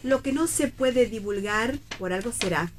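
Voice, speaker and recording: A woman's voice: on the high side, animated, moderate pace, slight background noise, lightly acted. Actress playing a reproachful Argentine mother. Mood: disapproving. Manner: reproachful, sharp-tongued. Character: disapproving, conservative middle-aged mother of an only daughter. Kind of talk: radio theatre reading.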